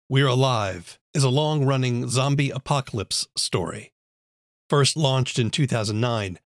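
A man's voice: mid-range voice, dry recording, studio quality. A man hosting a podcast, reading scripted introductions and sponsor messages. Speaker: podcast host. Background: music.

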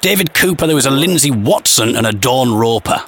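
A man's voice: medium pitch, expressive, brisk, fairly bright tone, a little hiss or hum. A man chatting casually as an interviewer on a phone call, talking in a hesitant way and rephrasing as he goes. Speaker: interviewer tracing people from an old tape. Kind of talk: casual investigative phone chat.

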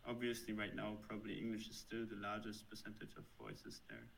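A man's voice: on the low side, very animated, fairly quick, vocal fry, fairly bright tone, slight background noise, low-quality recording. A man in his thirties with a German accent, answering interview questions thoughtfully, with frequent fillers and restarts. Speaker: software developer guest.

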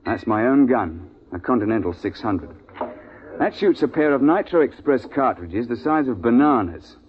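A man's voice: medium pitch, expressive, normal speed, lightly acted. An actor playing a seasoned, worldly British big-game guide with a blunt, clipped old-boy manner in a 1950s radio drama. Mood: firm, blunt. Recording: vintage 1950s radio, narrow band.

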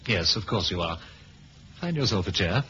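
A man's voice: sits low, sing-song, normal speed, sharp tone, exaggerated voice. A male actor playing an exasperated British intelligence chief in a 1970s radio drama, officious and clipped, with a British accent. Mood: brisk. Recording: dull lo-fi old radio recording, hiss.

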